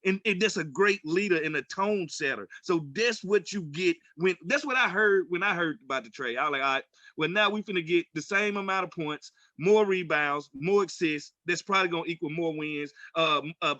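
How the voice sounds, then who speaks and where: Bright, high-pitched man talking sports. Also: expressive, a little fast, dry recording, dull audio. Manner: punchy, colloquial, emphatic. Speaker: sports show co-host. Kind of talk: casual sports talk.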